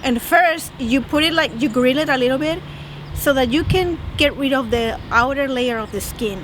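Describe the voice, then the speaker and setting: A woman's voice: high-pitched, moderate pace. A woman from northern Mexico with a Mexican Spanish accent, chatting and explaining at length in a casual street interview. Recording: outdoor street noise.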